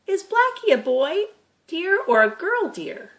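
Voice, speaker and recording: A woman's voice: very high voice, very animated, measured pace, voice pushed up, exaggerated voice. A woman voicing a curious, eager young boy in a children's storybook reading. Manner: eager, inquisitive.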